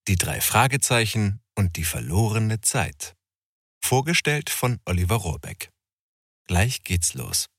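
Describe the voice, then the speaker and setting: Male announcer, lower pitch, scripted programme intro. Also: sing-song, measured pace, fairly bright tone, slightly rough.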